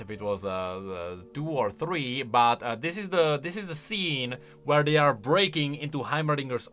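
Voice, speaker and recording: A man giving casual reaction commentary on video game lore, expressive and emphatic as he thinks aloud. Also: medium pitch. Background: music.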